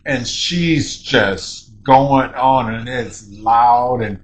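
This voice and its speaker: An older man with a deep voice chatting casually as a podcast co-host, rambling and animated, with many restarts. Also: extremely slow, a little hiss or hum. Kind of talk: casual chat.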